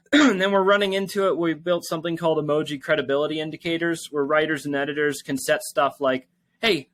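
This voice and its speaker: Male interview guest, casual and rambling, bright voice sitting a bit high, dry sound. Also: dry recording. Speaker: tech company founder and interview guest.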